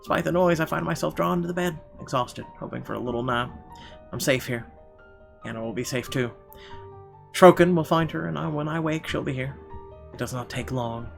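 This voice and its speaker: A man reading horror visual novel narration aloud as a let's player, in an expressive, dramatic storytelling style. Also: on the high side, a little fast, vocal fry, faint music behind.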